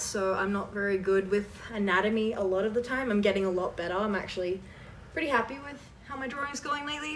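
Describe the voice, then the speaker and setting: A woman's voice: medium pitch, a bit bright. Rambling woman, a bit distant. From Canada. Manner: rambling, self-deprecating, trailing asides. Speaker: host of a fan voice-acting channel. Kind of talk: casual question-and-answer chat. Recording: distant mic with faint background noise.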